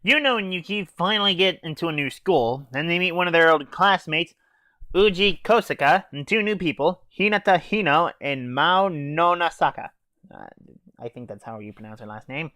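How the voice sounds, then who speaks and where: A man's voice: a little high, expressive, normal speed, bright, forceful tone, no echo. A teenage boy casually recapping an anime episode in an offhand, hesitant way with fillers and swearing. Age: teens. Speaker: anime reviewer.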